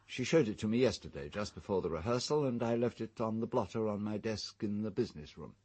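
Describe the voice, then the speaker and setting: An English actor in a radio drama playing an urbane, fastidious gentleman host, wry and formal in manner. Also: fairly low pitch, animated, breathy edge. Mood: dry, measured. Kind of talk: British radio drama acting.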